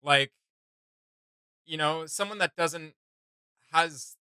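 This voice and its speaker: A man's voice: a little high, takes it slowly, pushed and bright, clear, resonant tone, no echo, clean studio sound. A man hosting a reaction video, speaking casually and hesitantly as he gropes for words.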